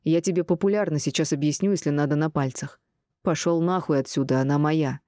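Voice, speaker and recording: A woman's voice: low voice, steady tone, brisk, mellow, no room sound, clean studio sound, lightly acted. A woman reading the part of a hot-tempered, jealous tough man, threatening and coarse, in an audiobook. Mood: furious threat.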